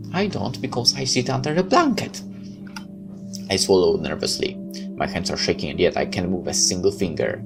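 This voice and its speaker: A very low-pitched male gamer reads story text aloud in a dramatic let's play style, tripping over the odd word. He drops in wry, joking comments of his own. Clear music plays behind him. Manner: wry, dramatic reading with stumbles. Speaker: gamer reading a visual novel aloud. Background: game music with guitar.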